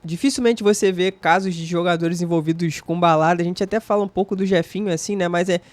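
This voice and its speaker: Brazilian guy doing a slangy reaction chat, kinda high voice, dry close mic. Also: steady tone, fast talker, no room sound. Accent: Brazilian Portuguese. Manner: chatty, slangy, enthusiastic praise. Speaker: fan channel host. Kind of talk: casual reaction commentary.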